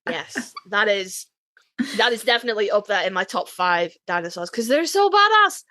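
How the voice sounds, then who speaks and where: A woman's voice: mid-range voice, lively intonation, moderate pace, bright, forceful tone, no echo. A woman bantering casually as a podcast co-host, emphatic, geeky and enthusiastic.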